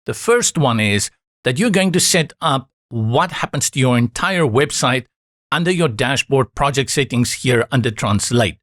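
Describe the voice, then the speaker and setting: A man whose first language is Afrikaans, calmly guiding viewers step by step through a software setup in a screen-recorded tutorial. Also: mid-range voice, moderate pace, no room sound, studio quality. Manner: calm, instructive, reassuring asides.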